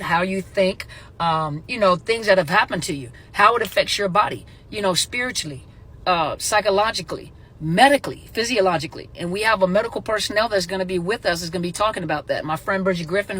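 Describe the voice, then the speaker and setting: A woman's voice: lowish voice, a bit bright, slight background noise. A middle-aged woman who grew up in Germany, hosting her own show and chatting casually, rambling with many fillers and self-corrections. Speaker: host. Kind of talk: casual vlog talk.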